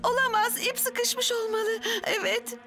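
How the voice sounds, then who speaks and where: A woman playing a brisk, sensible female firefighter in a dubbed children's cartoon. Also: extremely high pitch, steady tone. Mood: distressed. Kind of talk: animated children's series dub acting. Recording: loud music underneath.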